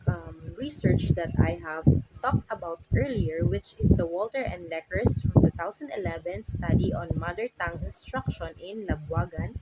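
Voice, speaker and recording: A young Filipino woman from the Philippines, a native Tagalog speaker, giving a class presentation with frequent "so" and "um" and a halting delivery. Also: mid-range voice. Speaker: student presenter. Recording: loud background noise.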